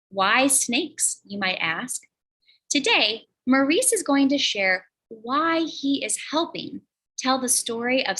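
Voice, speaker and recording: A woman hosting an educational show for schoolchildren, reading a scripted introduction in an upbeat, clear, sing-song way. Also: a little high, sharp tone. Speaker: host.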